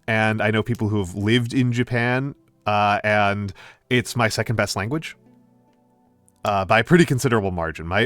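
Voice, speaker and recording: Hesitant low-voiced man chatting on stream. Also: a bit bright. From United States. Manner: hesitant, many fillers and restarts. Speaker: VTuber streamer. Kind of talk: casual chat with stream viewers.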